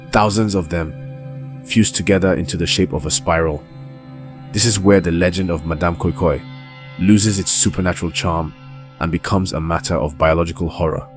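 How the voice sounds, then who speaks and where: A man with a very low voice delivers scripted horror documentary narration. His storytelling is grave and deliberate, each phrase measured out over clearly audible background music.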